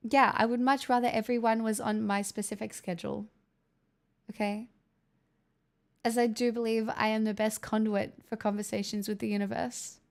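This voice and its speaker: A young woman chats casually through a livestream, answering viewers, swearing now and then and joking along the way. Her voice is smooth and clear and sits slightly higher than most. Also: flat delivery, normal speed. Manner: chatty, sweary, joking, answers chat messages. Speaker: livestream host reading chat.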